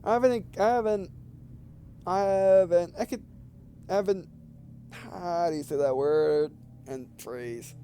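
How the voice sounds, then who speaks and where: A man with a noticeably high-pitched voice commentates casually over a video game. He reads dialogue aloud and reasons through it, circling back and restarting phrases as he goes. A faint hum sits behind his voice. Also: a little slow, pauses often, warm tone. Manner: rambling, thinking aloud, repeating and restarting phrases. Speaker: gameplay video host.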